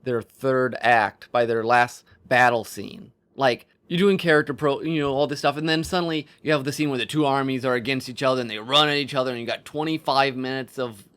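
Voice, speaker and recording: A man co-hosting a podcast, casually discussing films and storytelling as he thinks out loud. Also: mid-range voice, a little fast, few pauses, clear, resonant tone. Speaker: podcast co-host.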